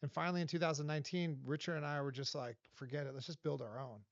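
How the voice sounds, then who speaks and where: A man's voice: fairly high pitch, fast talker, no room sound. Emphatic man from Los Angeles. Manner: emphatic, animated storyteller. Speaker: guest, vendor advocate. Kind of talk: casual interview talk. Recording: dull dry podcast audio.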